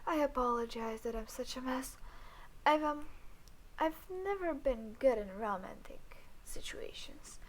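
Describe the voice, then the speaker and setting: Woman acting slow, high-voiced scheming alien girl. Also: slight background noise, close to an everyday voice, rough recording. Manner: cool, halting, cutesy-polite, murmured asides. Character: scheming female alien posing as a sweet, awkward human girlfriend. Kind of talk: roleplay audio acting, intimate.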